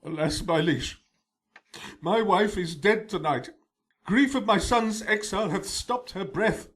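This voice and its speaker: A man plays an old nobleman broken by grief in a Shakespearean radio drama. His high, tense voice laments slowly and bitterly. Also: lively intonation, fairly bright tone. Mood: sorrowful. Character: grieving old nobleman, bitter and sorrowful father.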